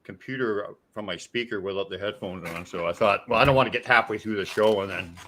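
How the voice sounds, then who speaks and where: A man's voice: lowish voice, fast talker, a bit bright. A man appearing as a guest on a casual live stream, rambling loosely and swearing casually.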